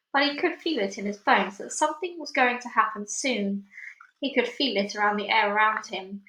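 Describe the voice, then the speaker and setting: Bright-voiced woman reading a children's story. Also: fairly high pitch, slight background noise. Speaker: storybook reader. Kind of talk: expressive read-aloud narration of a children's novel. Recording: echoey room.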